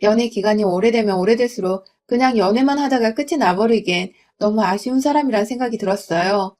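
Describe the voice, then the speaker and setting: A young woman from Gwangju narrating a first-person story in a smooth, confiding reading voice. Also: medium pitch, fast talker, a little mellow. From Gwangju, South Korea.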